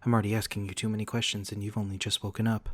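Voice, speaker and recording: A man playing a courteous, protective centuries-old vampire lord in an ASMR roleplay, speaking formally and gently to the listener with polite apology. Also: fairly low pitch, little pitch movement, racing, no room sound, lightly acted. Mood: apologetic, caring.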